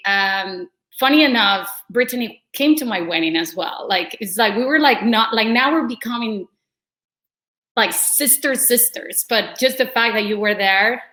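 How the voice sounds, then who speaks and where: A woman's voice: a little high, lively intonation, moderate pace, sharp tone. An effusive woman speaking informally as a panelist in a casual discussion. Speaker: panelist, nonprofit co-founder.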